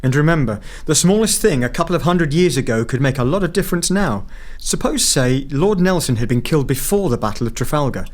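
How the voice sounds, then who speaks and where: A stern, aloof male agent played by an actor in an audio drama. He speaks tersely, in a slightly high register for a man. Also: expressive, a little fast, few pauses, a little mellow, natural voice.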